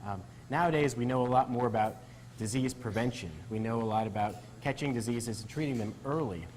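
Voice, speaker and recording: Brief: male doctor guest, even mid-pitched voice, calm explainer on a news segment. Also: fairly quick, poor audio. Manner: explanatory, steady. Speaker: physician guest. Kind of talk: TV interview.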